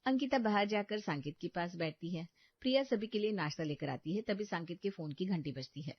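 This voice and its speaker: A woman giving expressive, scripted narration for a cartoon moral story. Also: on the low side, fairly quick, no echo. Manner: expressive, dramatic. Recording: dry dull lo-fi studio.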